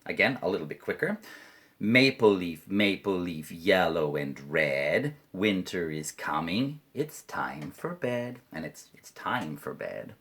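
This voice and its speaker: A Canadian man with a deep, low voice teaching English to children online. He talks slowly and lets his pitch swing widely, playful as he leads simple chants and repeats each line clearly. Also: animated, fairly bright tone.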